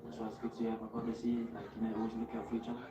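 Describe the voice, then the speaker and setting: A man in his twenties from Samoa, a rugby league player answering interview questions casually, relaxed and rambling with plenty of fillers. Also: lowish voice, racing, roomy sound, far from the mic, muffled recording. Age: 20s.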